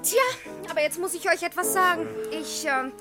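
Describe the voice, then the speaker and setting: An actress playing a flighty, attractive bit-part film actress in a radio drama, breezy and singsong. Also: female voice, very high voice, moderate pace, music in the background. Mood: hesitant, bright. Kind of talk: German radio drama acting.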